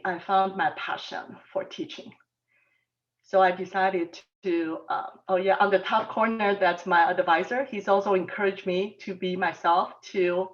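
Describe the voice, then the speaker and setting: Middle-aged woman from Taiwan, soft-spoken and hesitant, mid pitch, heard from afar on a lo-fi call. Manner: soft-spoken, frequent ums, halting. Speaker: mathematics professor, panelist. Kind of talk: personal talk at an online panel. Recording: distant, dull lo-fi sound.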